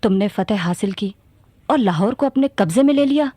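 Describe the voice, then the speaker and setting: A woman's voice: medium pitch, animated, brisk, warm tone, husky, no room sound. A woman acting a resolute, imperious young sultana in a radio play, speaking formally. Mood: troubled, resolute.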